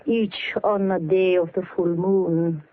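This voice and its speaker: An actress playing a mysterious, seductive Russian woman painter in 1940s radio mystery style, slow and teasing. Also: lowish voice, steady tone. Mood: calm, ominous. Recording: muffled vintage radio recording, faint music.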